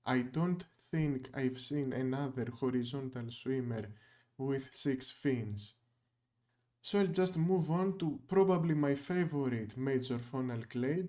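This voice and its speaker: A man's voice: on the high side, darkish tone. A young man whose first language is Greek, narrating a scripted video essay with dry, wry asides.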